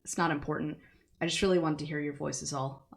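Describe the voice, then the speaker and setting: A woman's voice: deep voice, fast, vocal fry. A woman voicing an earnest, jumpy teenage schoolboy who stammers when flustered. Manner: excitable, stammering.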